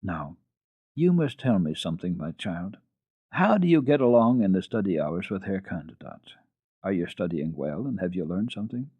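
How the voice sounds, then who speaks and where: A man voicing a kindly, encouraging old grandmother in a storybook reading, coaxing and deliberate but gently firm. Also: low-pitched, very animated, slightly rough, heavily acted. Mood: gently questioning. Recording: narrow-band, echoey old radio recording.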